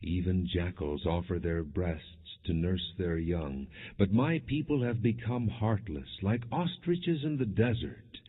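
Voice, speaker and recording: A man narrating an audiobook, reading scripture in a solemn, measured and dramatic way. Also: low voice, breathy edge. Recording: tinny phone-like narrow-band sound.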